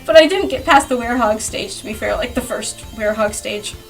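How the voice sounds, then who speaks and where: A young woman with a high-pitched voice talks in a loose, chatty ramble. She is animated and self-deprecating, prone to giggling, like someone telling personal stories in a voice-over. Light music plays faintly behind her. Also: brisk, rough recording. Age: young adult. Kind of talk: casual rambling chat over speedpaint. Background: background music.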